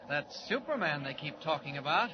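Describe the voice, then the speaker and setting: An actor playing a polite, eager young reporter in a 1940s radio drama, deferential in manner. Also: male voice, on the high side, sing-song, fairly quick. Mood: polite, knowing. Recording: muffled noisy old radio recording.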